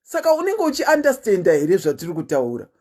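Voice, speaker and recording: Zimbabwean woman host just chatting to her listeners, voice swings all over, animated, lots of "eh"s. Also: medium pitch, fast talker, husky. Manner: animated, chatty storytelling with frequent "eh" fillers. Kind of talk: casual monologue to listeners.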